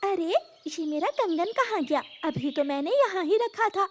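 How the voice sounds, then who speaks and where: A woman's voice: very high-pitched, expressive, moderate pace, a little hiss or hum, pitched above the performer's own voice. A woman voicing a rich, generous bird who is a caring household mistress in a children's cartoon. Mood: worried. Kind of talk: Hindi children's moral cartoon dialogue.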